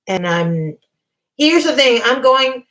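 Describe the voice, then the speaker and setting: This is a woman with a somewhat high voice who hosts a casual livestream. She rambles through her thoughts. Her voice is going hoarse, and she keeps clearing her throat. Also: big pitch swings, takes it slowly, fairly bright tone, low-quality recording. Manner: chatty, reads viewer comments aloud. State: losing her voice. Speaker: livestream host.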